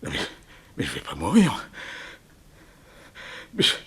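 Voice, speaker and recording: Casting: murderous radio-serial thief. Mock-polite, greedy, sharp-edged voice with husky grain, swinging widely. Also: male voice, low-pitched, wildly swooping pitch, measured pace, full character voice. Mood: agonised panic. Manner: theatrical, mock-polite, chuckling menace. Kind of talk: 1960s French radio crime serial acting.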